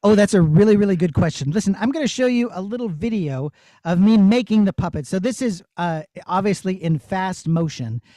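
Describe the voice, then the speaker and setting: High-voiced man teaching puppetry, dry sound. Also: lively intonation, brisk, mellow, no room sound. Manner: encouraging, conversational, checking in with listeners. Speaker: puppeteer giving a master class. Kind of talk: casual explanation and demonstration for children.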